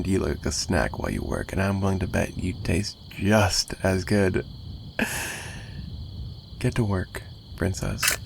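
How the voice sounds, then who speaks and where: A man playing a possessive, menacing and flirtatious mafia boss in an ASMR roleplay monologue, taunting and sarcastic with drawling pauses. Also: deep voice, creaky, slight background noise, full character voice.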